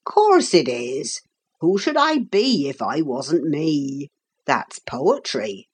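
A woman puts on an old working man from a storybook, a carpenter with a lowered voice and slow, unhurried delivery. He is friendly and teasing, with homely, rustic turns of phrase. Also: very animated, breathy edge, full character voice. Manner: slow, kindly, rustic ungrammatical speech. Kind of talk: storybook character voice in a read-aloud.

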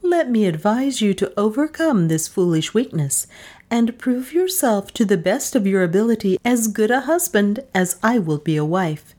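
A woman's voice: mid-range voice, lively intonation, moderate pace, mellow, voice pushed up, close to an everyday voice. A woman playing a sly domineering wife. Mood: scolding. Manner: arch, coaxing, theatrical lilt. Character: bold scheming middle-aged wife, sly and domineering.

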